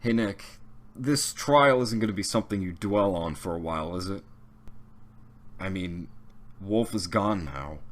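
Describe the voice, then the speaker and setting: Man as a deep-voiced, easygoing young wolf. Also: measured pace, pauses often. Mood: sympathetic. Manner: informal, sympathetic. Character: casual, good-hearted male wolf, a cleared defendant. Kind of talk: audio drama acting.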